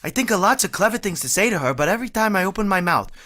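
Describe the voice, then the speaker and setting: A woman's voice: mid-range voice, lively intonation, very fast, fairly bright tone. A woman playing a timid, tongue-tied young man in a vintage-style radio play. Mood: bashful. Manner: stammering, timid.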